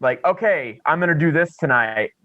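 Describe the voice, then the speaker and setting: A man's voice: a little high, animated, normal speed, no room sound, narrow, tinny audio. A man chatting casually as a podcast co-host, swearing freely and poking fun at himself by acting out imagined quotes. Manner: sweary, self-deprecating, acts out imagined quotes.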